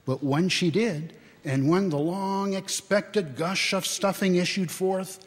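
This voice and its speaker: Guy doing a slow dramatic story reading in a big echoey church, voice kinda high and husky. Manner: theatrical, expressive, deliberate phrasing. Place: large church, live public reading.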